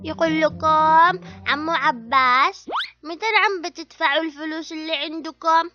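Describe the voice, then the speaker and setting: Woman doing a squeaky, bossy girl debt collector. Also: normal speed, natural voice. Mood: bossy, demanding. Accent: Adeni Yemeni. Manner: demanding, cheeky. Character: bossy young female debt collector for an uncle. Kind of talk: cartoon comedy dub. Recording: dull audio with faint music.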